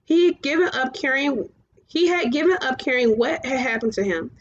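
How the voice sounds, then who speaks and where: A woman reading children's fantasy fiction aloud, somewhat halting, often stumbling and going back over phrases. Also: high voice, animated, dull audio. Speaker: storybook reader.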